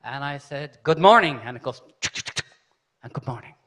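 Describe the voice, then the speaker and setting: A man from Ireland, hosting a conference and telling an animated story to the audience, often checking in with "okay?". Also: mid-range voice, moderate pace. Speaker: conference host. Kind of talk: live conference speech with anecdotes. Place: large conference hall.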